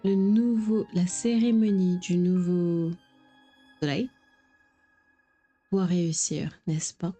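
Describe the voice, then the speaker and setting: Dark-toned woman streaming games casually. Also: lowish voice, steady tone, slow, long pauses, faint music behind. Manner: playful, informal. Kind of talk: casual livestream game commentary.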